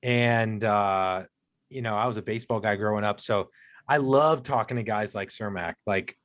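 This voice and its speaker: A man's voice: lowish voice, normal speed, a bit bright. A man guesting on a golf podcast, chatting casually and telling stories with self-deprecating humor. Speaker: podcast guest, co-host of another golf show. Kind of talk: casual interview chat.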